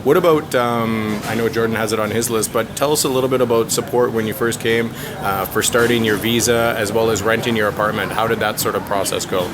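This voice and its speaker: A man doing a casual interview as the interviewer, encouraging and quick to affirm. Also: on the low side, steady tone, background noise.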